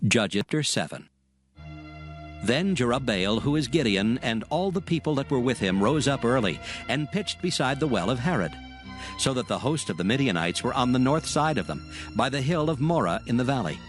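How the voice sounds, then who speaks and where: A man narrates a dramatized scripture story with solemn, measured delivery. His voice sits at a medium pitch, with music playing softly behind it. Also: animated.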